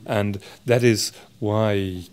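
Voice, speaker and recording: A man's voice: fairly low pitch, fairly even intonation, extremely slow, darkish tone, smooth. A man giving a reflective interview, explaining his views earnestly and thoughtfully. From parents from South Africa. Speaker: interviewee, supporter of Rwanda. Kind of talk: reflective interview talk in English.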